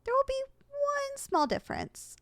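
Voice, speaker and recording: A woman's voice: very high-pitched, huge pitch swings, unhurried, a bit bright, dry recording, clean studio sound, heavily acted. A woman voicing a young slime succubus in training, affectionate and competitive, in a sweet, coaxing ASMR roleplay style that is easily flustered.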